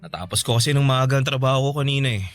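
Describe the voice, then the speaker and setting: A man's voice: mid-range voice, very fast, natural voice. A man playing an affectionate young boyfriend in a Filipino radio romance drama, talking tenderly and casually. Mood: doting. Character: affectionate, apologetic young boyfriend who cooks.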